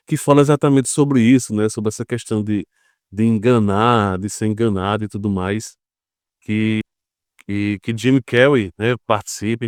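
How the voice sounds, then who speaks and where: A man's voice: mid-range voice, darkish tone, clean tone, dry recording, clean studio sound. A Brazilian man giving informal explanatory commentary in Brazilian Portuguese, conversational, often posing rhetorical questions and checking in with short tags. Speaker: geopolitics commentator.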